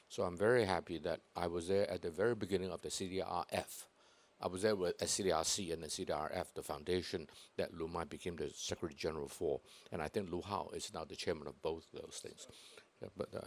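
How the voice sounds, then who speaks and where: Deep-voiced jovial male host. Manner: jovial, self-deprecating, hesitant with many uhs. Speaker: host of the event. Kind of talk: casual event chat.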